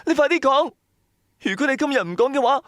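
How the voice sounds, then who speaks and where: Radio horror drama wife, played by a man: high, shrill, suspicious and nagging. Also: lively intonation, a little fast, a bit bright, no echo, studio quality. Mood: scolding, threatening. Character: fierce, suspicious wife interrogating and later terrified for her husband.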